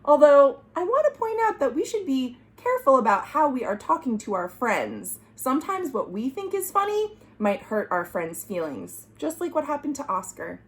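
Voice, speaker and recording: A woman's voice: high-pitched, huge pitch swings, normal speed, few pauses. A woman reading a children's storybook aloud as a storytime host, dramatic and exclamatory. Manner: exclamatory, dramatic, asking listeners questions. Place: at home.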